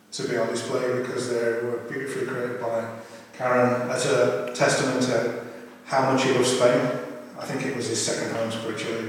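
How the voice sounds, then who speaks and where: Hesitant man in a very echoey, distant hall. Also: medium pitch. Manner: hesitant, halting. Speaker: family member leading a funeral service. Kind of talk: informal welcome speech at a funeral. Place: crematorium chapel. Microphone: distant camera at the back of the room. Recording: very echoey chapel, distant mic, faint noise.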